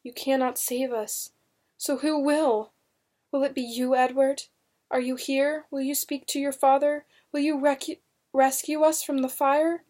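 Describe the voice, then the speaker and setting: A woman reader plays a frightened young woman facing trial in a storybook. She speaks in a hushed, anxious voice, soft and pleading, full of dread. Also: high-pitched, flat delivery, a little slow, voice pushed up. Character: fearful young accused woman, silently pleading, betrayed. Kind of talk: dramatic storybook reading.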